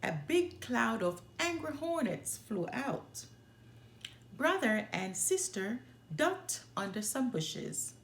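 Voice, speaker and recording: A woman reading a children's storybook aloud as an expressive narrator. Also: a little high, slow, reverberant. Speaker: storyteller, children's book reader.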